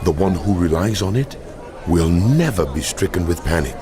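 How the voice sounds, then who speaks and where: An actor playing God in a dramatized Bible reading, grave, deliberate and authoritative. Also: male voice, deep voice, animated, crowd noise. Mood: stern, commanding. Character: God, solemn commanding male deity pronouncing judgment.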